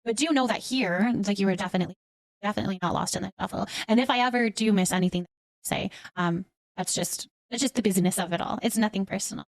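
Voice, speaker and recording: A woman's voice: mid-range voice, quick pace, no echo. A Canadian woman hosting a casual livestream, reading out and answering comments in an affectionate, encouraging way. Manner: affectionate, encouraging, addresses listeners with endearments. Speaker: livestream host.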